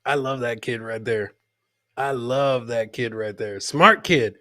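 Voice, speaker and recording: A man's voice: mid-range voice, measured pace, pushed and bright, no room sound, studio quality. A man hosting a live comedy clip show, joking and teasing as he comments on videos and repeats his punchlines.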